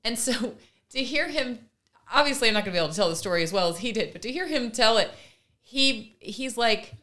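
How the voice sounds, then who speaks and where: A woman co-hosting a podcast, retelling stories casually and in an animated way, slipping into quotes as she goes. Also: a little high, fairly quick. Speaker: podcast co-host. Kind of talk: casual conversational storytelling.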